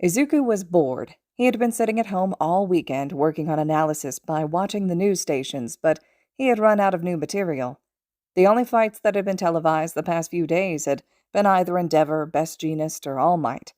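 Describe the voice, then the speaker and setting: A woman's voice: fairly low pitch, moderate pace, warm tone, no room sound, studio quality. A woman reading a story aloud as a scripted narrator. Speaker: podfic narrator.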